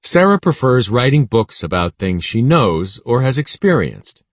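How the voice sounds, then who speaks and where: A man reading a children's story aloud in an expressive, teacherly way. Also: mid-range voice, unhurried, dry recording. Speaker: audiobook narrator for children. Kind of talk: scripted read-aloud storytelling.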